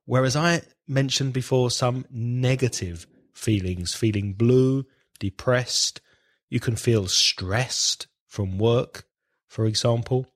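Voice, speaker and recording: Male podcast co-host with a head cold, clear teacherly delivery. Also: mid-range voice, slow. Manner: clear, explanatory, spells out words. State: blocked up with a cold.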